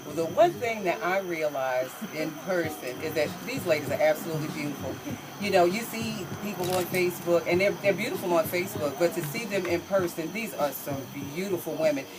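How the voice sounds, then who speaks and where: A woman, talking warmly and effusively as an interview guest, repeating herself. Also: on the low side, animated, brisk, noisy background. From North Carolina, US.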